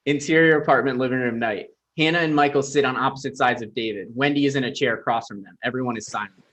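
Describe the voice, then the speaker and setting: Mid-pitched man reading stage directions. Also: fast, a bit bright, poor audio. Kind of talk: scripted narration for table read.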